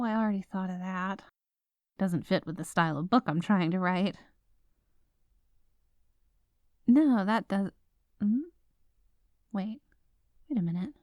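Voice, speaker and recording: A woman's voice: mid-range voice, a little mellow, no room sound, studio quality, natural voice. A woman playing a stubborn, sleep-deprived writer girlfriend in an ASMR roleplay, rambling with dramatic, indignant exclamations. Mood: excited, delighted.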